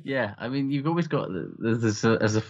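A man's voice: medium pitch, lively intonation, brisk, highs cut off. A man from Wales chatting casually and comically as a co-host, hedging as he goes and easily amused. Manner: hedging, conversational, readily amused. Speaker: podcast co-host.

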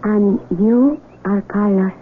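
A woman's voice: mid-range voice, slow pace, natural voice. A woman acting in a radio drama as an earnest, sheltered young blind villager who speaks in simple, formal words. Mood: gentle, curious. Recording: tinny radio broadcast with faint noise.

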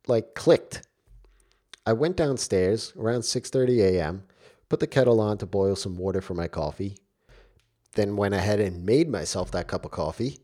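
A man's voice: on the low side, mellow. Stuffy-voiced man hosting a podcast. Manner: friendly, direct address to listeners. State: stuffy from a cold. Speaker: podcast host. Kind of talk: solo podcast talk.

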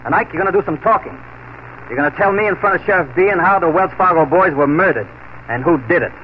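A man's voice: high-pitched, fast, natural voice. Guy acting as tough old west lawman, blunt n taunting, muffled 40s radio with some hiss. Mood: commanding. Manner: clipped, tough, challenging. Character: steady, fearless frontier lawman, blunt and taunting. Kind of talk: 1940s radio western acting. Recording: muffled, noisy 1940s radio broadcast.

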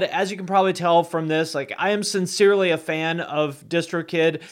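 A man's voice: high-pitched, fairly bright tone. A man speaking as a podcast host in a casual, conversational way, peppered with "like" and "you know". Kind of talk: casual interview chat and sponsor read.

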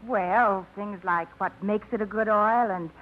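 A woman's voice: mid-range voice, fairly even intonation, unhurried, slight background noise, close to an everyday voice. An actress playing a shy, hesitant young woman who stumbles over her words, in a 1950s radio drama. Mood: timid. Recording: muffled 1950s radio broadcast.

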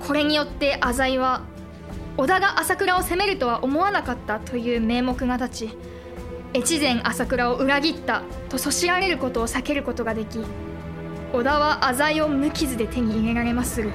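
A high, poised woman's voice, an actress as a shrewd young lady of a samurai house. She speaks in formal archaic phrasing, measured and sure of herself, in a period audio drama with faint music behind her. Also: natural voice. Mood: composed, assured. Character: composed, shrewd young noblewoman of warring-states Japan.

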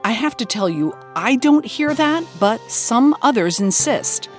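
A woman podcast creator reads a scripted promotional introduction. Her delivery is playfully mock-serious, with very varied intonation over clearly audible music. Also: a little high, lively intonation, measured pace.

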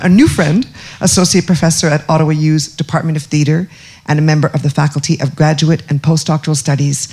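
A woman's voice: deep voice, darkish tone. A woman, an executive producer, formally welcoming an audience to a talk before a show.

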